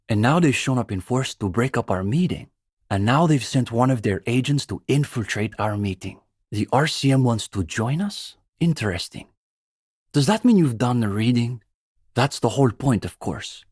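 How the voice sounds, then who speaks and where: An actor playing a pompous, earnest young communist student in a video game, a bookish zealot who talks in precise, lecturing phrases. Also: male voice, lowish voice, a little mellow, husky, dry recording, clean studio sound. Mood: guarded, skeptical. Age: young adult. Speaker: student leader of a revolutionary reading group. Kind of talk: video game character dialogue.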